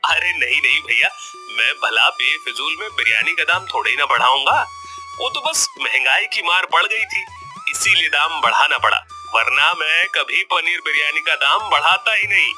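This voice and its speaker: A man playing a greedy, smooth-talking village biryani seller in a cartoon story, with emphatic, expressive delivery. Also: fairly high pitch, normal speed, soft background music, exaggerated voice. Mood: defensive, placating. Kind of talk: Hindi cartoon story voice acting.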